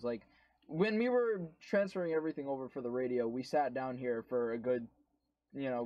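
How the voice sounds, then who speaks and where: Podcast guy rambling, lots of you know and like, mellow dark voice, dull sound. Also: medium pitch, no room sound. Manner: rambling, filler-heavy, swears. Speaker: co-host. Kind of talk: casual podcast chat.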